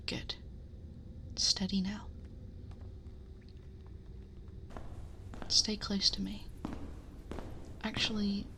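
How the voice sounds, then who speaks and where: A woman playing a possessive, protective dragon girl in an intimate ASMR roleplay, speaking softly and breathily, often whispering, close to the microphone in a measured murmur. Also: mid-range voice, creaky, exaggerated voice. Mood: protective, guiding. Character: possessive female dragon girl, protective, commanding yet tender. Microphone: close binaural ASMR microphone.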